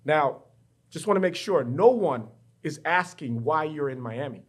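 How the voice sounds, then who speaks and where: A man's voice: a little high, lively intonation, measured pace, husky. A middle-aged man from the Bahamas, a professor and guest in an interview, telling his story in a reflective, personal way. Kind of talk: candid interview conversation. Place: university studio.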